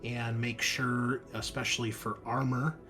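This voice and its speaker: A man running a tabletop roleplaying game, narrating and explaining casually in a halting way. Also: medium pitch, flat delivery, sharp tone, soft background music. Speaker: game master.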